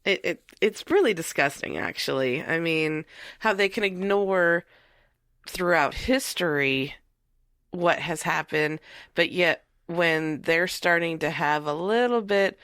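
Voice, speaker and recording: A woman co-hosting a casual podcast, sounding nasal from blocked sinuses, sarcastic and quick to agree. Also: lowish voice, a bit bright, no echo, studio quality. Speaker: podcast co-host. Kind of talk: casual chat.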